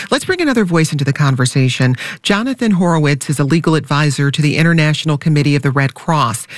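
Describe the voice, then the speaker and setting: A man's voice: fairly high pitch, dry recording, studio quality. A man hosting a broadcast interview program, putting questions to guests and introducing them. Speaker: radio program host.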